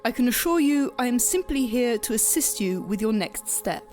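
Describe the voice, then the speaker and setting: A woman playing a composed, detached grim reaper in an audio drama, speaking with stiff, measured formality. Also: on the high side, expressive, a little mellow, faint music behind, close to an everyday voice.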